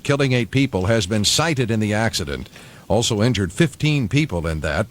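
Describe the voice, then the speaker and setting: A man anchoring local news on the radio, reading from a script in a studio. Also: medium pitch.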